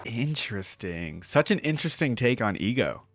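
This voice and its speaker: A man's voice: medium pitch, very animated, moderate pace, faint music behind. A man giving casual, musing reaction commentary on a game as the host.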